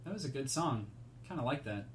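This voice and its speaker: Fast-talking young male podcast host. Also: medium pitch, expressive, a little hiss or hum. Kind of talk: casual podcast chat.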